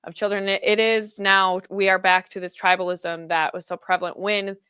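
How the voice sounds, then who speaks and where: A woman hosts a podcast interview with a smooth, resonant voice. She asks questions thoughtfully and affirms her guest's points. The sound is dry and close, with no room echo. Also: medium pitch, little pitch movement, moderate pace, sharp tone. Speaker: podcast host. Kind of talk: interview hosting.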